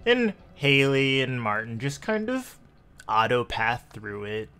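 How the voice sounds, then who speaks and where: A man with a creaky voice working as game master, narrating a tabletop roleplaying game in a measured, descriptive way. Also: medium pitch, sing-song. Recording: faint background music. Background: soft music.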